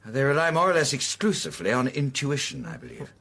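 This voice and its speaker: An actor playing a courteous, guarded middle-aged amateur detective in a radio drama, with a measured, wry manner. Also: male voice, mid-range voice, creaky, a bit bright.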